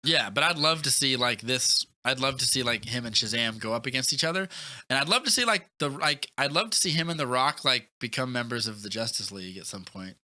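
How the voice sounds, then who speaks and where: A man chatting casually and enthusiastically about films as a podcast co-host, rambling excitedly with lots of "like". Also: medium pitch, brisk, no room sound. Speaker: movie review podcast co-host. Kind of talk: casual enthusiastic film chat.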